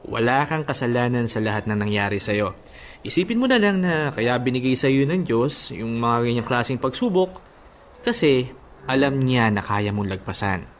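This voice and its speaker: An actor playing a devoted, encouraging suitor in a Filipino radio drama, speaking earnestly and persuasively. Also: male voice, mid-range voice, sing-song, moderate pace, highs cut off, a little hiss or hum, close to an everyday voice. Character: devoted, encouraging male suitor Alan, a father. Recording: faint music behind the voice.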